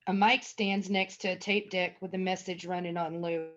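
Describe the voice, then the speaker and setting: A woman's voice: fairly low pitch, little pitch movement, moderate pace, fairly bright tone. A woman narrator reading out screenplay stage directions as scripted narration. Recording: dull lo-fi audio.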